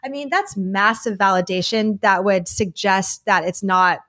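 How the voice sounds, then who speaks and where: A woman's voice: medium pitch, measured pace, sharp tone. Rambling woman podcast host. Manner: rambling, full of "like" and "you know". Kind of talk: casual chat.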